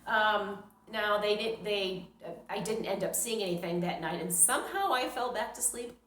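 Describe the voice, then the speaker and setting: A middle-aged woman shares a personal story in a casual, conversational style. Her voice sits at a medium pitch, and she retells things dramatically, slipping into small acted reactions. The recording is noticeably roomy, with echo around her words. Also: normal speed, a bit bright, slight background noise. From New York, United States. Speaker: storyteller, vlogger. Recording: roomy, echoing sound.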